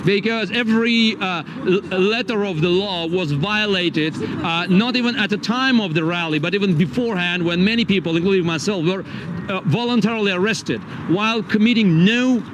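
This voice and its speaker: High-voiced man on a noisy street. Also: dull audio. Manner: urgent, hurried, halting with fillers and repeats. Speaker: opposition activist speaking to reporters. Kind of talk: impromptu statement to press. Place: outdoors on a city street. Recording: outdoor archive footage with traffic noise. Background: traffic and street noise.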